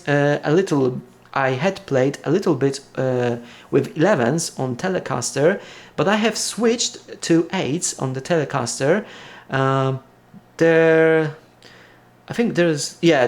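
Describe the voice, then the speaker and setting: Halting Polish-accented man, casual vlog. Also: on the high side. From Poland. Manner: halting, many fillers and restarts. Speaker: vlogger. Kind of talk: casual unboxing talk.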